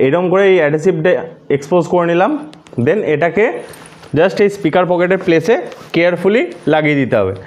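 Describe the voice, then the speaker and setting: A man's voice: sits high, brisk, darkish tone. A man narrating a casual step-by-step tutorial, explaining things and mixing in English words. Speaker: host of a motorcycle gear tutorial.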